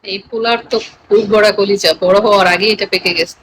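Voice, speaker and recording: A woman's voice: mid-range voice, fairly even intonation, quick pace, fairly bright tone, a little hiss or hum, rough recording. A woman with a Bangladeshi accent chatting casually with live stream viewers in a playful, teasing manner.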